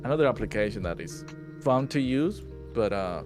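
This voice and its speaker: A man's voice: mid-range voice, normal speed. A man hosting a casual chat over a voice call, swearing freely and acting out voices. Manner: swears a lot, acts out voices, lively. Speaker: podcast host and streamer. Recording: voice call with lofi music behind. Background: lofi music.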